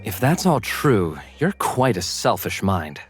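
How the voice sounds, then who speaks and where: A man's voice: lowish voice, sing-song, slow pace, slightly rough, no room sound, full character voice. A man voicing a blunt, skeptical investigator in a visual novel dub, questioning in a pointed, sardonic way. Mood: skeptical, dry. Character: skeptical, blunt male investigator interrogating a witness. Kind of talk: visual novel English fan dub, dramatic dialogue. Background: music.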